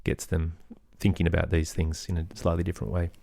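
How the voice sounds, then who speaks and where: A man's voice: deep voice, monotone, brisk, vocal fry, warm tone, no room sound, clean studio sound. A middle-aged man giving thoughtful, earnest answers as an interview guest in a conversational way. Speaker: interview guest, podcaster and musician.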